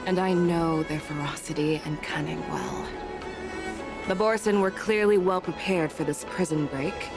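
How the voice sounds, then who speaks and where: A woman playing a composed, seasoned warrior general in a game, measured and commanding. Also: on the low side. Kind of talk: dramatic game voice acting.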